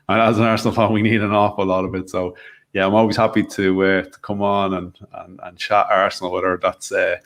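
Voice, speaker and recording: A man's voice: low voice, level tone, fairly quick, fairly bright tone. A man with an Irish accent, a guest on a fan talk show, chatting casually and joking about himself. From Ireland. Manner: self-deprecating, chatty, frequent restarts. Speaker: podcast guest. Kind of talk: casual chat.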